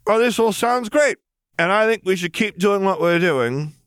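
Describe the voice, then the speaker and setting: A man's voice: high voice, expressive, moderate pace, fairly bright tone, clean tone, no room sound, clean studio sound, pitched above the performer's own voice. A man voicing a breezy young girl who plays at being a crime boss, in a casual, playfully grandiose manner. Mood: breezy, pleased.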